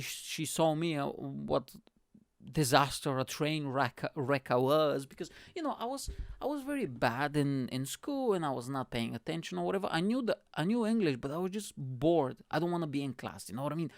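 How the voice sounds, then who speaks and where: A man hosts a casual podcast, telling animated stories and acting out the people in them. His voice sits a little high and carries a gravelly fry, yet stays smooth and resonant. The recording is dry and close. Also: moderate pace, no echo. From Bulgaria. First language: Bulgarian. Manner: animated, laughs often, acts out quoted people. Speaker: podcast host. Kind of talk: casual storytelling monologue.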